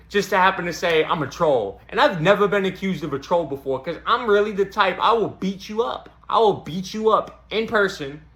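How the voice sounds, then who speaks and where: A man's voice: high voice, pushed and bright, echoey room. A young man with a Boston accent, telling a profane, streetwise stand-up story to camera and peppering it with "you know what I'm saying". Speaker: comedy channel host. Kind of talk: casual stand-up monologue to camera.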